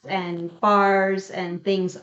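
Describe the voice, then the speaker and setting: A woman from Florida speaks at a middle pitch, explaining steadily with the odd "um." The room echoes around her, and the sound is slightly dull and lo-fi. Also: flat delivery, very slow, a bit bright. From Tampa, Florida, USA. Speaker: guest. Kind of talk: casual chat.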